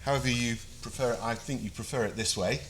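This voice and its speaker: A man's voice: medium pitch, quick pace, slight background noise, rough recording. A British man presenting a funding briefing to a live audience, chatty and self-deprecating with frequent fillers.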